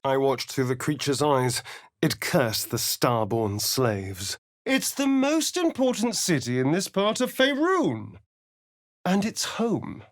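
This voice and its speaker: Casting: foppish vampire spawn rogue, game companion. Disdainful theatrical drawl, wide expressive pitch swings, dry studio sound. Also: male voice, slow, dry recording. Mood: arch, sardonic. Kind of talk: video game voice acting, companion dialogue and narration.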